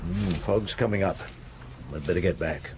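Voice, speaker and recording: An actor playing a measured, probing police chief inspector in a radio drama, dry and reserved, asking terse questions. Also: male voice, sits low, noisy background, natural voice. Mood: guarded, probing. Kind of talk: radio drama dialogue and narration.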